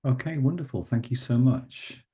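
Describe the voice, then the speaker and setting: A man with a croaky voice giving a scientific lecture over a video call, explaining steadily with filler pauses. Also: mid-range voice, mellow, muffled recording. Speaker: invited research speaker.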